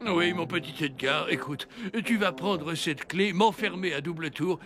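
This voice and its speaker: A man voicing an old, fatherly and excitable Santa Claus in a French cartoon, with a theatrical delivery. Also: on the high side, a little slow. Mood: solemn. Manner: theatrical, pleading, swinging from solemn to frantic. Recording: loud music behind the voice.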